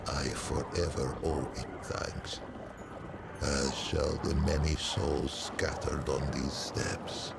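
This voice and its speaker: An actor playing a solemn, wise alien forest-dweller in a video game, speaking in measured, formal, stately phrases with a creaky voice. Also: male voice, heavily acted. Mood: grave, grateful. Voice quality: low, creaky. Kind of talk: theatrical video game character acting. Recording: loud music under the voice.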